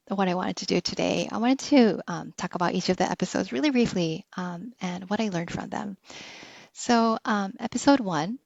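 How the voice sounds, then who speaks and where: A woman's voice: mid-range voice, no room sound. A woman hosting a podcast, talking in a casual, reflective monologue. She speaks earnestly, with frequent "um"s and small laughs. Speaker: podcast host. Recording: dull, slightly lo-fi dry recording.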